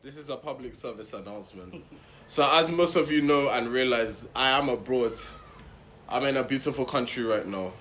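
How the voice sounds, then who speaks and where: A man's voice: mid-range voice, moderate pace, a bit bright, slight background noise. A man filming on a trip abroad, addressing viewers in an exasperated way. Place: abroad in Grenada.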